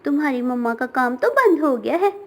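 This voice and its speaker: A woman's voice: high voice, sing-song, fairly quick, fairly bright tone, heavily acted. A woman voicing a mean, taunting schoolchild bird in a cartoon with a mocking manner.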